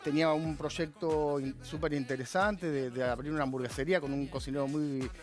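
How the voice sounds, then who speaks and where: A man's voice: a little high, fairly even intonation, fairly quick, faint music behind. A man in his thirties from La Plata with an Argentine Spanish accent, a chef explaining his recipe with hesitant restarts in a TV studio kitchen. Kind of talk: cooking demonstration chat.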